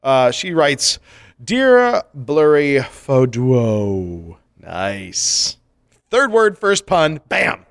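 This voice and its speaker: Animated joking man reading listener mail. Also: mid-range voice, drawn-out words, no echo, studio quality. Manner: joking, laughs often, animated. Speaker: podcast co-host. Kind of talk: casual comedic chat, reading listener mail.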